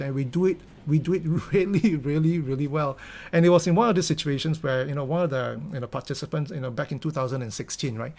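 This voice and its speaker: Earnest Singaporean man, higher voice. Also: darkish tone. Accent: Singaporean English. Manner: earnest, many fillers and restarts. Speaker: company founder giving a talk. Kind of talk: motivational talk.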